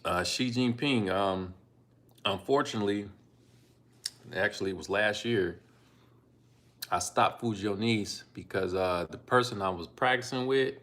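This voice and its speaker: A man from Ohio streaming live from his phone, talking in a laid-back, unhurried way with frequent ums and asides as he reads out donations and chats about learning languages. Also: on the low side, fairly bright tone. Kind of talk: casual live-stream chat reading donations.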